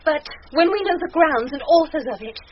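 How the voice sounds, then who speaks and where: A high-voiced, gracious noblewoman. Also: lively intonation, fairly quick. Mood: firm, reassuring. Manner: gentle, poised, formal. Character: gracious, composed, compassionate noblewoman. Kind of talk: classical Shakespearean radio acting. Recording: dull, faintly noisy old radio broadcast.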